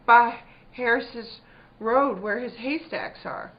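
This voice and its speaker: A woman acts out a plain-spoken country wife in a spooky drama, troubled yet firm. Her voice sits a little high and carries rural dialect. The sound is dull and lo-fi. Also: takes it slowly. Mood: hushed storytelling. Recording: dull, muffled lo-fi recording.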